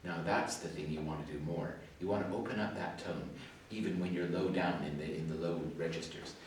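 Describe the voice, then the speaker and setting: A man's voice: low voice, steady tone, fairly quick, slight background noise. An older man with a Suffolk English accent tinged by Canada, giving chatty, digressive feedback as a competition adjudicator in a school music hall. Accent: Suffolk English with Canadian traces. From England, early life in Canada. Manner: chatty and digressive, self-deprecating asides. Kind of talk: spoken feedback to performers. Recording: very echoey hall, distant mic.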